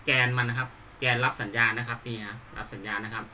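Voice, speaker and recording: A man giving an unscripted hands-on tutorial, explaining politely in a halting, repetitive way. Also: mid-range voice, flat delivery, pushed and bright, a little hiss or hum. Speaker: hobbyist presenter demonstrating a DIY build.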